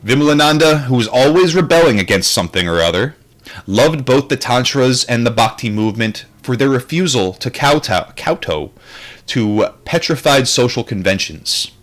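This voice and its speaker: A man's voice: mid-range voice, expressive, moderate pace, fairly bright tone. A man reading a book aloud and adding his own casual, rambling commentary, with wry and reflective asides.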